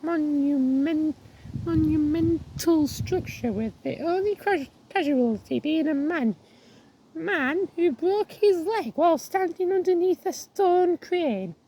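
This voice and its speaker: A woman with a croaky voice walking outdoors, chatting casually to her viewers and reading signs aloud with many restarts. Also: very high voice, expressive, warm tone, slight background noise, low-quality recording. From England. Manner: rambling, restarts words, reads signs aloud haltingly. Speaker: vlogger. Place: outdoors on a viaduct.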